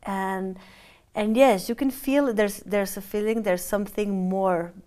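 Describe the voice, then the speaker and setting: A woman's voice: medium pitch, steady tone, a little slow, warm tone, clear, resonant tone. A woman leads the interview in a middle-range voice. She is supportive and often repeats her guest's words back to her.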